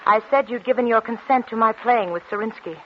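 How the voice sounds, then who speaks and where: An actress playing a fragile, desperate concert pianist in a breathless, insistent 1940s radio style. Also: female voice, fairly high pitch, brisk, natural voice. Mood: hopeful. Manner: breathless, insistent, repeating herself. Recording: muffled, noisy 1940s radio recording.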